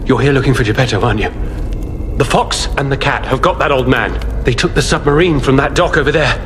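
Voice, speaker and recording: An actor playing a boastful, self-serving treasure merchant in a video game, smug and wheedling. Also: male voice, medium pitch, a little fast, a bit bright, in a large hall, slight background noise, lightly acted. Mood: sly pleading. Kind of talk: video game character acting.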